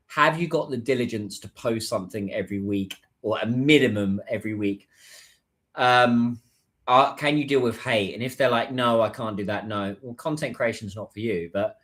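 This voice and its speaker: A British man talks loosely to viewers on a livestream, his voice sitting at an ordinary middle pitch. He rambles, restarts sentences and fills gaps with "um" and "like". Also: a bit bright. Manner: rambling, chatty, many fillers and restarts. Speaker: livestreamer and business content creator. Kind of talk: casual livestream chat.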